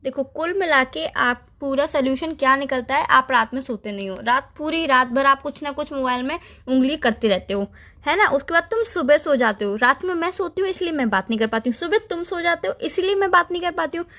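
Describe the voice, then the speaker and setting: A woman's voice: high voice, expressive, fairly quick, bright, forceful tone. A young woman in a casual couple's phone argument, listing complaints in a nagging way. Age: young adult. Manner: nagging, lists complaints at length. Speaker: girlfriend on a phone call. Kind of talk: casual relationship argument in call format.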